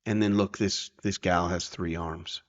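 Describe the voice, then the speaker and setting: A man presenting a casual software tutorial, explaining informally and checking in as he goes. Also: low voice, fairly even intonation, a little slow, highs cut off.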